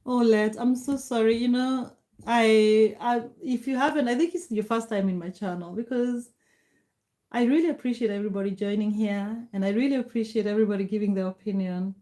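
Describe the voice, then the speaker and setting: Brief: middle-aged Kenyan-accented woman, Kikuyu native, livestream host; warm, grateful chat; mellow tone. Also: fairly high pitch, a little fast. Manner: warm, grateful, greets viewers by name. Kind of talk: casual live chat reading comments.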